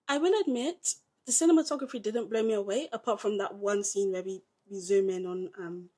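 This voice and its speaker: A woman's voice: mid-range voice, a little fast. A British woman giving emphatic film opinions. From Britain. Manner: emphatic, opinionated, animated delivery. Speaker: podcast co-host, film reviewer. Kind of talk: casual review chat.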